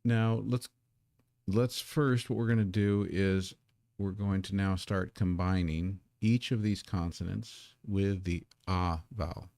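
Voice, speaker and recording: A low-voiced man with a gravelly edge, a native English speaker teaching Korean in a live stream. He talks casually and modestly and often repeats a word while he gets set up. His voice sounds dry and close. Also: steady tone, takes it slowly, darkish tone, no echo. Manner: casual, self-deprecating, repeats words while setting up. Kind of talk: live-streamed language lesson.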